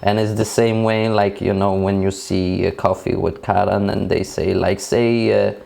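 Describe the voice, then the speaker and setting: A man's voice: lowish voice, level tone, moderate pace, creaky, a little mellow. A man from Denmark, a native speaker of Danish and Polish, talking in a casual conversation with many fillers and restarts.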